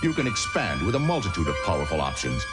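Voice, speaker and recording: Man, eighties ad announcer, lively intonation, scripted, loud music bed. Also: deep voice, fast talker. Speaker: commercial announcer. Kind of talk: scripted 1980s TV ad narration.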